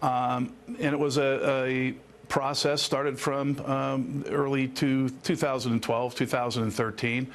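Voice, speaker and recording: A man's voice: medium pitch, little pitch movement, unhurried. A man speaking as an expert guest in a news interview, explaining in earnest detail with formal, legal phrasing. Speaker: attorney and former air traffic controller, interview guest.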